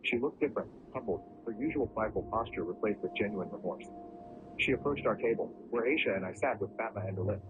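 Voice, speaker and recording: Fast-talking man narrating a story. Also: on the low side, music in the background. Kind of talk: scripted first-person story narration. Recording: muffled lo-fi recording with clear background noise.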